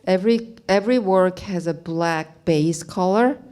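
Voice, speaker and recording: Halting, soft-voiced woman artist. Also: lowish voice. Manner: halting, plain non-native English with many "you know" fillers. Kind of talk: gallery talk about her art. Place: gallery, speaking to an audience.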